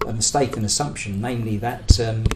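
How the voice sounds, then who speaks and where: A man's voice: on the low side, brisk. A British man giving a political talk as a trade union speaker, in a hesitant, discursive way, searching for his words as he builds his argument. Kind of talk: conference talk, semi-prepared political argument. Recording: echoey room with faint background noise.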